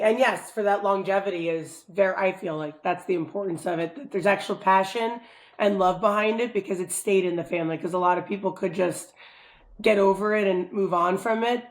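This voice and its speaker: A woman's voice: medium pitch, rough recording. Chatty woman hosting a podcast. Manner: enthusiastic, chatty. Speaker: podcast host. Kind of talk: casual interview chat.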